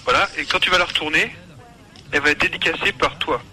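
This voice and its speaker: Very bright, fast-talking man. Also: fairly high pitch, low-quality recording. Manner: slangy, playful. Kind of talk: casual banter.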